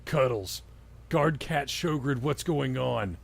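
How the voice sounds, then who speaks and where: Guy playing a cocky demanding wrestler kid, blunt, mid pitch, goofy fanfic reading. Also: lively intonation, takes it slowly, vocal fry, a little hiss or hum. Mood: deadpan demanding. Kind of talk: comedic dramatic reading of fan fiction.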